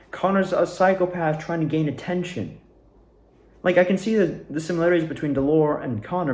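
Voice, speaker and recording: A man's voice: on the high side, a little mellow. Young man venting in an echoey room. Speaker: student actor. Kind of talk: casual rambling personal recap. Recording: roomy, echoing room sound.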